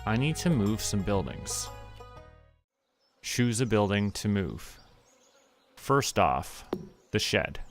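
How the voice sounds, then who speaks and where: Low-voiced man muttering through gameplay. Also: slow, long pauses. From Canada. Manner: relaxed, muttering to himself while playing. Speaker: streamer. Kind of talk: casual gameplay commentary. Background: game music.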